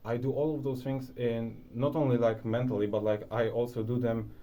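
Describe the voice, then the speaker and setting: A man's voice: mid-range voice, flat delivery, fairly quick, warm tone. A young man with a Polish accent, casually sharing advice as a video creator, rambling with lots of restarts and fillers. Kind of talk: casual talking-head advice.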